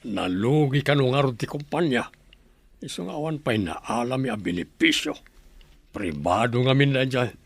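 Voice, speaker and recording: An actor playing a dutiful, earnest middle-aged son who works in an office, in an Ilocano-accented radio drama. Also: male voice, medium pitch, expressive, moderate pace, creaky, husky, no room sound. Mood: earnest, troubled.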